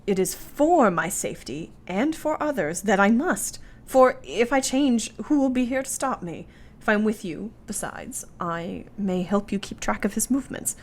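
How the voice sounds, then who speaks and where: An actress playing a brave, devoted young wife in a radio play, speaking solemnly and formally. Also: female voice, mid-range voice, lively intonation, moderate pace, few pauses. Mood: determined.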